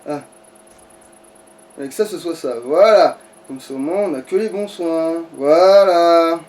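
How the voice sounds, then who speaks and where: A man's voice: on the high side, a little slow, a little mellow. Distant-sounding man grumbling through a game. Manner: foul-mouthed, grumbling, thinking aloud. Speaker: gaming streamer. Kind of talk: casual let's play commentary. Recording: distant mic with background noise.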